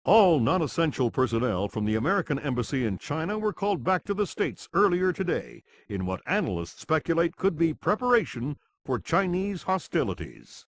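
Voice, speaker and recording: An actor playing a grave television news anchor, reading scripted bulletins in a formal, measured way for a game. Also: male voice, fairly high pitch, sing-song.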